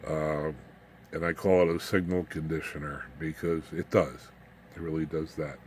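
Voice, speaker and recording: A man giving an informal, unscripted hands-on demonstration as a hobbyist, haltingly, muttering asides to himself as he works. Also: extremely low pitch, little pitch movement, darkish tone. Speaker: hobbyist radio builder demonstrating his equipment. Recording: clear background noise, room ambience.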